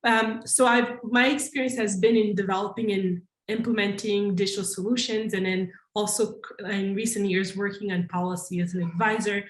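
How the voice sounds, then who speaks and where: A woman's voice: medium pitch, steady tone, moderate pace, few pauses, reverberant, poor audio. A woman from Congo giving a conference talk, thoughtful and earnest, often restarting her sentences with fillers. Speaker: conference panelist.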